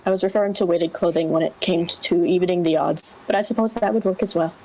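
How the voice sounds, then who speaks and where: A woman voicing a reticent alien fighter with a stiff, formal, deadpan manner in a dramatic reading. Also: lowish voice, fast talker, a little hiss or hum, natural voice, low-quality recording. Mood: awkward, formal.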